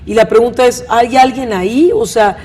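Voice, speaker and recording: A woman's voice: a little high, normal speed, dark tone, husky. A woman with a northern Mexican accent hosting a conversational panel interview, talking in a rambling, questioning way with frequent tag questions. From Monterrey, northern Mexico. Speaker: talk show host.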